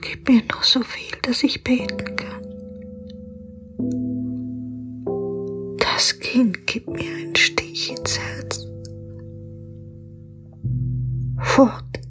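An actress playing a guilt-ridden, anguished poor woman at prayer in a German radio drama, whispering and breathy. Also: on the high side. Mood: anguished despair. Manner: very slow, halting, fervent.

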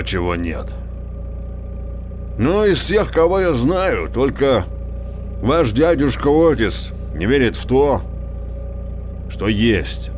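An actor playing a garrulous, bemused country driver telling a tale in an audio drama, with folksy, drawling emphasis. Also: male voice, medium pitch. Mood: wry, amused. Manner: drawling, animated, quotes himself and others. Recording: loud car and outdoor noise behind. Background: vehicle engine, ambience.

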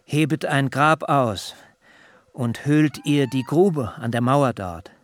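An actor playing a grieving patriarch in a literary radio drama, solemn and halting as he orders his wife's burial. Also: mid-range voice, no echo, lightly acted. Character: grieving patriarch husband burying his wife.

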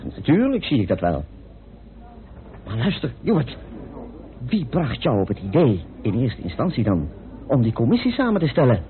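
An actor playing an excitable, incredulous colleague, speaking emphatically in an old Dutch radio drama. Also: male voice, medium pitch, normal speed, long pauses. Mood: emphatic. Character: astonished, excitable male colleague in a political mystery. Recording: noisy muffled tinny old radio recording.

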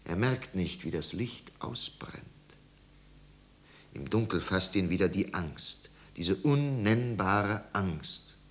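A man narrating a bleak 1950s radio drama as a somber, measured storyteller. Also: deep voice, expressive. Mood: grave, hushed. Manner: hushed, grave, measured. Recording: old radio recording with hiss and hum.

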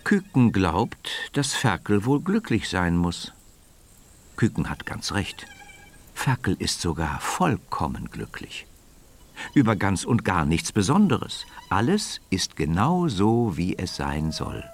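A man narrating a scripted children's story in a measured way, now and then dropping to a hush. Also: low-pitched, wildly swooping pitch.

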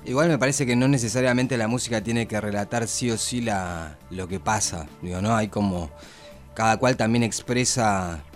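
A man's voice: lowish voice, moderate pace, faint music behind. A man with an Argentine accent chats casually, feeling his way tentatively through his thoughts. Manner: hesitant, tentative phrasing. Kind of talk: casual conversation.

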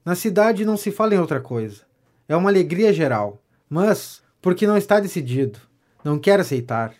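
An actor playing a vain, self-important gentleman pamphleteer in a Brazilian audio drama comedy, declaiming pompously and flattering as he goes. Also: sits high, fairly quick. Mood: self-satisfied, fawning. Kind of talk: audio drama of a 19th-century stage comedy.

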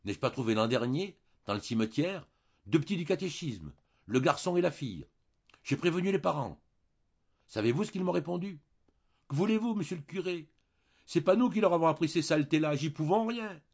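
A man's voice: a little high, fast talker, a little mellow, lo-fi sound, voice pushed up, natural voice. A man doing a chatty old country priest. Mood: preachy. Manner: moralizing then sly and jovial.

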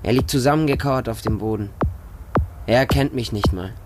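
A man's voice: medium pitch, normal speed, background noise, natural voice. An actor narrating a radio drama as a bleak, hardened homeless Russian street boy, in short, stark sentences. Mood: bitter, grim. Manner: short, plain, stark present-tense sentences.

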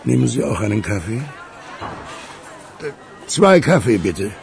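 A man acting in a German radio crime drama as a courteous, measured older businessman with a crooked past, calmly persuasive. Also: mid-range voice, creaky, soft background music, a faint crowd. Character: suave ageing provincial magnate, ex-crook turned capitalist, calmly persuasive.

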